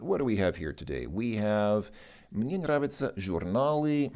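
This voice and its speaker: A man talking through language lesson exercises informally, thinking aloud in a hesitant, halting, dry way. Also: sits low, vocal fry, a little mellow.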